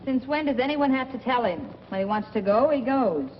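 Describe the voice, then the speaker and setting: A woman's voice: fairly high pitch, expressive, a little fast, natural voice. Actress as wisecracking flirty 40s noir woman, small town and restless, muffled film sound w/ some noise. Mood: teasing, breezy. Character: worldly, teasing small-town woman itching to leave. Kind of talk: 1940s film noir acting.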